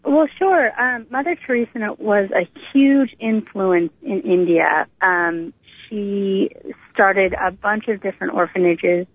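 A middle-aged American woman shares her personal story as an interview guest. Her voice has a gravelly fry and a medium pitch. She talks informally and conversationally, heard through a narrow, tinny phone line. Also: takes it slowly, dry recording. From Northern California, United States.

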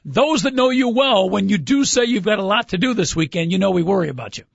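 A chatty male sports radio host with a high, light voice, rambling and teasing his on-air partner. The sound is dry and close, with no room around it. The audio is dull and muffled, like an older broadcast recording. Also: animated, fast, vocal fry, dry recording. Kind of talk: casual sports radio chat.

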